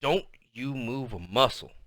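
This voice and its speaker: A man reads as a furious storybook mother, strict and menacing. The commands come slowly and sternly with a hiss, in a clear, dry sound. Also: mid-range voice, huge pitch swings, sharp tone, no room sound, exaggerated voice. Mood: stern fury. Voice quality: hissing.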